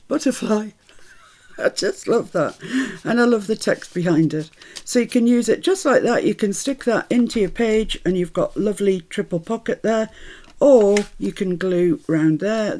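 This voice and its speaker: A woman's voice: medium pitch, mellow. A woman born in Scotland with a mixed British accent from years in the Midlands, chatting cheerfully through a crafting tutorial as she works. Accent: mixed British, Scottish-born, Midlands. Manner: chatty, cheerful, laughs often, talks while working. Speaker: craft channel host.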